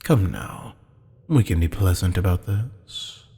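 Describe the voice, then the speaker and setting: A man playing a haughty, teasing demon buyer in an ASMR roleplay drama, with a low, gravelly, husky voice and a taunting, mock-polite manner. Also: expressive, slow, dark tone, full character voice. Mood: taunting, menacing. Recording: long hall-like reverb.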